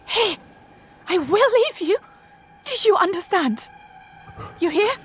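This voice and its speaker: An actress playing a tough, hot-tempered young survivor in an audio drama, a German speaker, sharp, commanding and urgent. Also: female voice, very high voice, very animated, takes it slowly, faint music behind, heavily acted. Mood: fierce warning.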